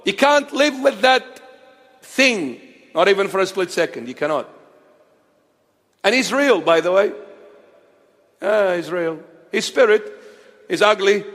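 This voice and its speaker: A man preaching a fiery sermon, repeating his phrases, dropping to low murmurs and then rising to shouting. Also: big-room sound. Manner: impassioned, repetitive, swings between low murmurs and shouting. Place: in Australia.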